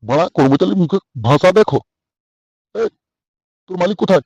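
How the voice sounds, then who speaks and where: A man's voice: sits high, animated, a little fast, darkish tone, husky, dry recording, heavily acted. A man voicing an irritable, crude middle-aged village father in a comic cartoon, scolding bluntly with a West Bengal accent. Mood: threatening.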